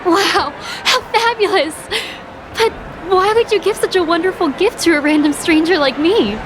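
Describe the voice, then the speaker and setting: High-voiced, sweet young singer. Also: female voice, normal speed, noisy background. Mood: grateful, gushing. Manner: gushing, polite, gentle. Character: sweet, polite young female singer, earnest and grateful. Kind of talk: scripted video game voice acting. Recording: background music under the voice.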